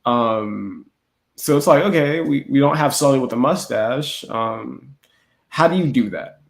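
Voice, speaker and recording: Male podcast host, mid-pitched, exasperated and sardonic in casual chat, clearly echoey room. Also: a little slow. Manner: exasperated, sardonic mock-quoting. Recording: roomy echoing sound.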